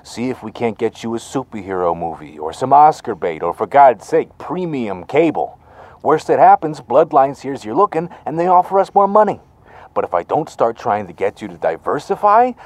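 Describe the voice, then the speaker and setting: A man's voice: medium pitch, sing-song, normal speed, a little mellow, no room sound, lightly acted. A man acts out a chummy, persuasive talent agent in a fiction podcast. His mid-range voice swings expressively. He is glib and pushy, recorded dry and close. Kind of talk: naturalistic audio drama acting.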